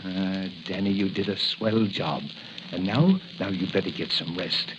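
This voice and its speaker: An actor playing a kindly, hesitant, fussing doctor in a 1940s radio drama. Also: male voice, low voice, a little slow. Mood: kindly, flustered. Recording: hissy, muffled 1940 radio recording.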